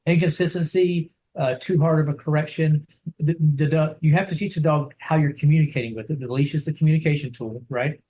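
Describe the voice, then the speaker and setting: A man who trains dogs, talking casually over a video call, soft-spoken and rambling, often checking in with "right?". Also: a little high, fairly even intonation, a little fast, poor audio. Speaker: dog trainer.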